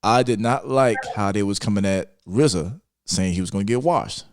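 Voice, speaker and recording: A man's voice: fairly low pitch, moderate pace, dry recording, clean studio sound. A man casually debating music as a podcast guest, insistent and repeating himself to press his point.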